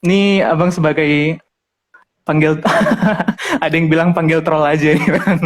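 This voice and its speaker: Young man, podcast host, chatty, laughs a lot, slightly high voice. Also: steady tone, a little slow. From Medan, Indonesia. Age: 20s. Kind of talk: casual podcast chat.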